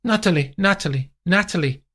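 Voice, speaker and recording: A man reading aloud voices an imperious wealthy woman who gives crisp orders. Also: fairly low pitch, fairly quick, fairly bright tone, voice pushed up. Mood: commanding. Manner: clipped, haughty, gives orders.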